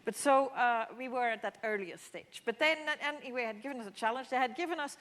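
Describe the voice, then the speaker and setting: A woman's voice: on the high side, quick pace, a bit bright. A woman whose first language is Dutch, giving a public mathematics lecture with slides and explaining things with frequent restarts and fillers. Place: lecture hall. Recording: long hall reverb.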